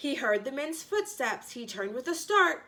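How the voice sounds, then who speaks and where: Female children's storyteller, fairly high-pitched, big melodic range, expressive and theatrical with rhyming text. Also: slight background noise. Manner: expressive, theatrical emphasis with drawn-out phrases. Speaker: storyteller reading a children's book. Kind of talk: animated rhyming storybook read-aloud.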